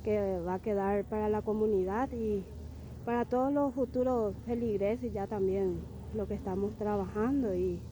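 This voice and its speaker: A woman's voice: medium pitch, fairly even intonation, moderate pace, warm tone, a little hiss or hum, rough recording. A woman chapel coordinator speaking steadily with frequent fillers in a street interview.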